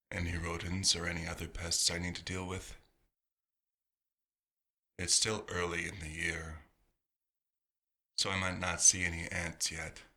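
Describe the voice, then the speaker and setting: Man playing a very deep, slow, wistful vampire. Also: pushed and bright, exaggerated voice. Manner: unhurried, murmuring to himself with pauses. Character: nostalgic male vampire doctor, quiet, fastidious, gently wistful. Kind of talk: ASMR roleplay monologue.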